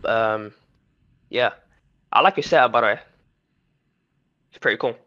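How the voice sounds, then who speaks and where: A man's voice: medium pitch, measured pace. A man on a video call, bragging casually about himself to the host. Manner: boastful, self-promoting. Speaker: stream guest. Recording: dull lo-fi call audio.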